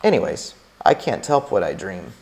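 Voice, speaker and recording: A man's voice: deep voice, sing-song, normal speed, gravelly, darkish tone, pitched below the performer's own voice, full character voice, rough recording. A man reading aloud, voicing a glum, tired husband who grumbles and sighs about being woken. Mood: grumbling. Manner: grumbling, sighing, resigned. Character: weary, glum old husband who wants to sleep.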